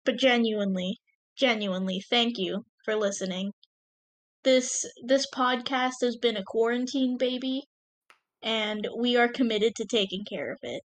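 A woman's voice: fairly high pitch, unhurried, no room sound. A young woman co-hosting a podcast in casual banter, playful and given to mock-dramatic jokes. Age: young adult. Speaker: podcast co-creator and host. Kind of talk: casual banter and thank-you message.

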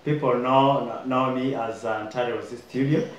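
A man's voice: medium pitch, fairly even intonation, lo-fi sound, slight background noise. Hesitant young man from Rwanda. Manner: hesitant, many pauses and fillers. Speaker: guest. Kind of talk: casual chat. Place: kitchen dining room, indoors. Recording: echoey room with faint ambience.

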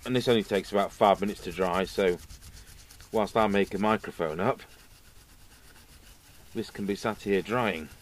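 A man's voice: fairly low pitch, moderate pace, pauses often, a little hiss or hum. A middle-aged man giving casual hands-on repair commentary, musing aloud to himself as he works. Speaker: radio repair video host. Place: indoor workbench, overhead camera.

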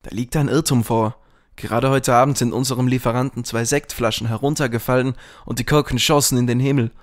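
A man playing a smooth-talking grill owner who is secretly a henchman, in a parody audio drama. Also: medium pitch, steady tone, fairly quick, lightly acted. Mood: reassuring.

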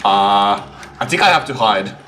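A young man streaming a game from home, reacting casually in an amused, excitable way. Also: lowish voice, measured pace. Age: young adult. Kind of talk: casual live reaction commentary. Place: small room at home.

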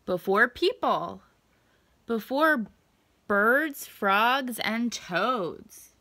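A young adult woman reading a picture book aloud to children, expressive and deliberate, stretching words for emphasis. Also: a little high. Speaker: children's story time reader. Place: small indoor room at home.

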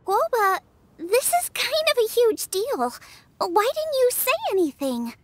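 An actress voicing an eager young female chef in a video game, talking with lively, emotional energy. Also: extremely high pitch, a little slow, no room sound, exaggerated voice. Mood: surprised. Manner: lively, emotional, bright delivery.

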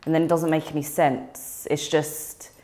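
Low-voiced young woman, frank and relaxed. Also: a little slow. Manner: frank, relaxed, laughs easily, many ums. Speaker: interviewee. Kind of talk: casual interview chat.

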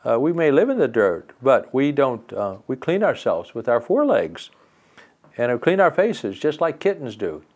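A man's voice: medium pitch, very animated, normal speed, a little mellow. An older man voicing a group of proud, tidy ants in a fairy tale. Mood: prim.

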